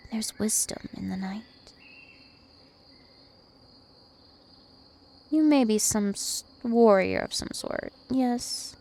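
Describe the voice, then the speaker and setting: A woman's voice: fairly high pitch, vocal fry, mellow, slight background noise. A woman voicing a dominant, taunting, ancient vampire princess in an ASMR roleplay, with haughty, teasing menace. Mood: seductive coaxing. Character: two-thousand-year-old vampire princess, female, dominant, taunting, imperious.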